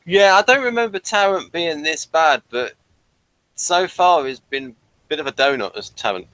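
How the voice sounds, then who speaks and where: A man's voice: fairly high pitch, animated, moderate pace, pushed and bright, dry recording, low-quality recording. Man hosting a casual review chat. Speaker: host of a TV review show.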